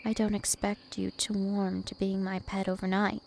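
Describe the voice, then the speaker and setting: Casting: woman as an immortal vampire princess for ASMR roleplay, teasing and possessive, creaky voice over noticeable insect-like night ambience. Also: mid-range voice, flat delivery, a little mellow, slight background noise, close to an everyday voice. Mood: calm, probing. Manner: slow, deliberate, teasing and possessive.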